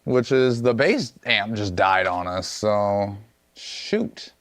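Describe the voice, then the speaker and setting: A man's voice: fairly low pitch, expressive, takes it slowly, fairly bright tone. A man hosting a live music stream, chatting casually and joking, who drifts into nonsense scat syllables. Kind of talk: casual chat with scat singing.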